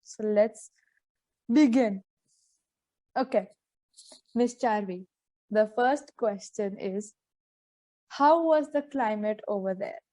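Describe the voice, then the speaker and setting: Teen girl host, Indian English, mellow tone, dry close sound, teasing. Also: mid-range voice, takes it slowly, pauses often, no room sound. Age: teens. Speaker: podcast host, interviewer. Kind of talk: casual chat podcast.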